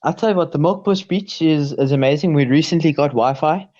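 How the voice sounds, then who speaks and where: A teenage South African guy with a slightly high voice, answering as a podcast guest. He jokes in a deadpan, self-mocking way and keeps his delivery casual and dry. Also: brisk, highs cut off. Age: teens.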